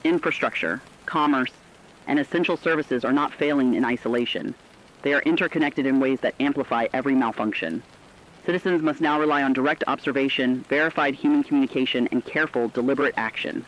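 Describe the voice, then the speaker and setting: A man playing a sober, urgent radio correspondent, delivering a formal, deliberate scripted report on societal collapse. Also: mid-range voice, a bit bright, lightly acted. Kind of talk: scripted dramatic news report. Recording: dull lo-fi radio sound.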